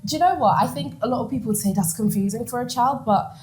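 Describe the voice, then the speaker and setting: A woman in her twenties from the Netherlands, telling her story as a guest in an interview, animated and quick to laugh. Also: on the high side. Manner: animated, laughs often, acts out quoted lines.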